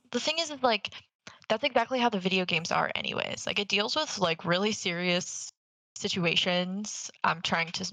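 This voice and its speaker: Young female co-host, casual chat. Crisp forward tone, very dry close sound, enthusiastic and informal. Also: medium pitch, sharp tone, no room sound, low-quality recording. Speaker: podcast co-host. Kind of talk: casual chat about games and films.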